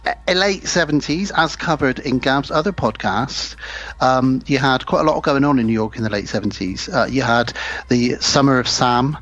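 A man's voice: medium pitch, normal speed, fairly bright tone. A man co-hosting a podcast, chatting casually and enthusiastically about films, rambling with many ums. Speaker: podcast co-host. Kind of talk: casual film chat.

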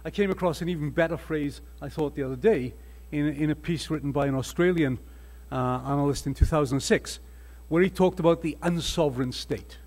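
An older man with a Welsh accent lectures from a panel table into a desk mic. His voice is husky and sits a little high, and his delivery is earnest and emphatic, often restarting phrases. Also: brisk, darkish tone. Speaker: panel speaker. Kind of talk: conference panel talk with slides. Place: conference hall panel table. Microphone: table microphone.

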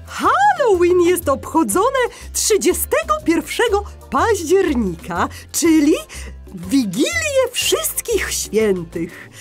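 A very high, wildly swooping parrot schoolteacher, cheerful and instructive. She stretches her words slowly and theatrically, as in a children's audio play. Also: wildly swooping pitch, faint music behind, full character voice. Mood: explaining. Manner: theatrical, drawn-out, sing-song emphasis. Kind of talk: children's audio drama acting.

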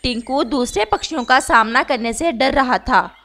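A woman's voice: high-pitched, moderate pace, fairly bright tone. A woman reading scripted narration for a children's story as its narrator.

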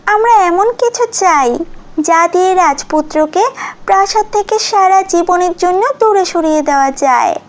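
A woman's voice: extremely high pitch, animated, a little slow. A woman voicing a jealous, scheming senior queen in a fairy-tale cartoon.

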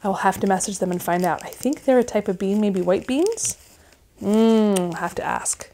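A woman in her thirties chatting casually at home, gushing with excitement as she unboxes and reads out seed packets. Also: mid-range voice, moderate pace, slight background noise. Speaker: gardening vlogger. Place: living room at home, indoors.

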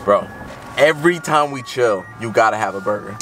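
A young man vlogging in casual chat with friends, teasing in an animated, disbelieving way, recorded on a camera mic in a car and outdoors in the wind. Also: on the low side, moderate pace, faint music behind. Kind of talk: casual chat and vlog narration. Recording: road and wind noise.

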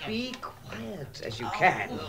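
An actor playing a fussy, timid, good-hearted hobbit burglar in a radio drama, with a British accent and a stammering, hesitant manner. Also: male voice, high-pitched, big pitch swings, slow, vocal fry, a loud crowd, heavily acted. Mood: cheerful, flustered. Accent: British English.

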